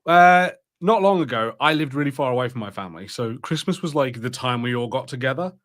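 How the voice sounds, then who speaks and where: A man co-hosting a podcast, chatting casually in a halting, hesitant way. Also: mid-range voice, lively intonation, fairly bright tone. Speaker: podcast co-host. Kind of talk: casual chat.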